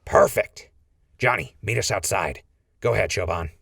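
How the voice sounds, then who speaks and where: Rough ringleader played by a man, low-pitched and gravelly, terse and practical, for a wasteland audio drama. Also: moderate pace, heavy background noise. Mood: brisk, commanding. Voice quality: husky, gravelly. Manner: terse, giving orders. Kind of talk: post-apocalyptic audio drama acting.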